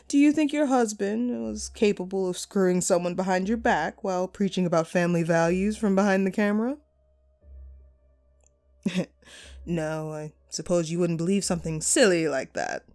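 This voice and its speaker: A woman's voice: medium pitch, a little slow, long pauses, a little mellow, natural voice. A woman playing a vengeful, sadistic jilted actress in a horror audio roleplay, mocking and theatrical, with menacing playfulness. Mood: mocking, bitter.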